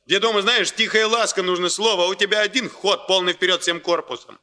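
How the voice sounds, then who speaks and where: A man's voice: sits high, steady tone, brisk, pushed and bright. A man acting in a Soviet radio play as a cocky, self-pitying young factory worker, talking colloquially and emotionally to justify himself. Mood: teasing, irritated.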